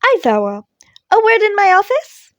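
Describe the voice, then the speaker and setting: A woman's voice: extremely high pitch, very animated, normal speed, fairly bright tone, dry recording, dull audio, pitched above the performer's own voice, heavily acted. A woman voicing a small, cheerful animal school principal with a polite, coaxing manner in a fan fiction reading.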